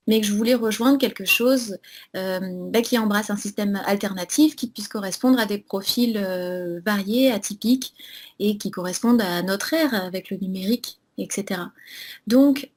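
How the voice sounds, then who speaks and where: Woman, young adult, from French Guiana, interview guest, hesitant, fairly high pitch. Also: a little mellow. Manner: hesitant, many fillers and restarts. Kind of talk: remote interview conversation.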